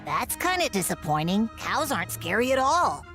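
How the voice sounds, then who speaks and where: A woman's voice: mid-range voice, sing-song, moderate pace, over music, close to an everyday voice. A woman voicing a cheerful, naive, fearless young boy hero in an anime video game, with a guileless casual manner. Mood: unimpressed, bold.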